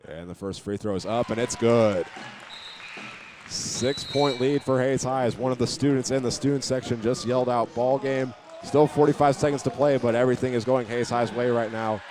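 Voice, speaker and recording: A man doing live play-by-play commentary of a high school basketball game as the announcer. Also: moderate pace. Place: indoor high school gymnasium. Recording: noisy gym ambience behind.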